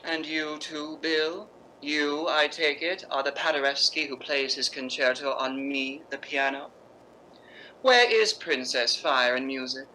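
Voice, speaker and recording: A woman's voice: low voice, unhurried, noisy background. A woman playing a proud, volatile stage actress with a biting, sarcastic theatrical delivery in a radio drama. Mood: cold, sarcastic. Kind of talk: radio drama acting in 1950s style.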